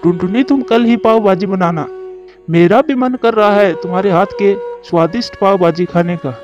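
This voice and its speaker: Man voicing an earnest high-pitched cartoon bird. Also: normal speed, a little mellow, muffled recording, faint music behind, natural voice. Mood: mild, fatherly. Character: male cartoon bird, earnest protective brother and husband. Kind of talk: Hindi moral-story cartoon dubbing.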